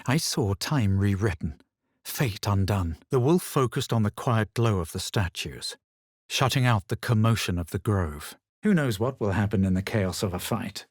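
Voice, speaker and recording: An actor playing a self-assured, superior young male adventurer in a video game, speaking in a measured, aloof, dramatic way. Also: on the low side, lively intonation, breathy edge, no echo, clean studio sound. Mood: measured, grave. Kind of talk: video game character lines and storytelling narration.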